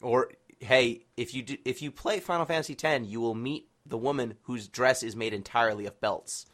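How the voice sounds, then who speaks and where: A man's voice: medium pitch, normal speed, a bit bright. A man hosting a casual chat podcast, opinionated and bantering. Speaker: podcast host.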